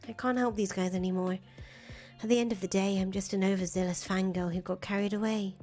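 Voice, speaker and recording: Woman playing visual novel fangirl: young, soft-hearted, worried, hesitant and earnest, music underneath. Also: mid-range voice, fairly even intonation, fairly quick, lightly acted. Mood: self-deprecating, uneasy. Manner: hesitant, self-deprecating, earnest. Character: anxious, disillusioned young fangirl, soft-hearted conscience of a revolutionary. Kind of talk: visual novel dialogue reading. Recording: music behind the voice.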